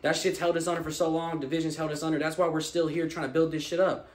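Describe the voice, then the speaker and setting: A man in his early twenties from Tulsa, Oklahoma, rattling off emphatic, profane run-on sentences at a quick clip. His voice sits a little high for a man. He talks as a guest on a casual living-room podcast. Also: level tone. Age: early 20s. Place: living room couch, indoors.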